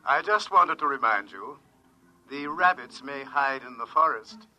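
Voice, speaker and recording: An actor playing a sinister, taunting hunter of fugitives in a 1950s radio drama, insinuating and menacing. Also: male voice, mid-range voice, expressive, unhurried, bright, forceful tone, poor audio. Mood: gloating menace.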